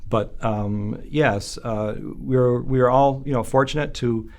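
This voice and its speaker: A man who grew up speaking Italian at home, answering interview questions with many pauses and fillers. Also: mid-range voice, steady tone, creaky, a little mellow. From United States. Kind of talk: casual recollection.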